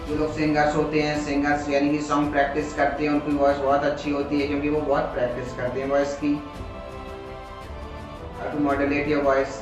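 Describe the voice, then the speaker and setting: A man with an Indian accent teaching in an explanatory, motivational lecture style, slowing down deliberately on English phrases. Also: fairly high pitch. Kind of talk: motivational lecture on communication skills. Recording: very echoey room, music behind.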